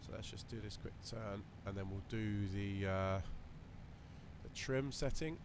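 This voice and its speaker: A man with a lowish, slightly croaky voice talks casually through his simulator checklist, thinking aloud and filling gaps with ums and uhs. A steady cockpit engine drone sits clearly behind him. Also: measured pace. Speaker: flight sim video creator. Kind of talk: relaxed running commentary while flying a simulator. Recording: voice over loud simulator cockpit noise.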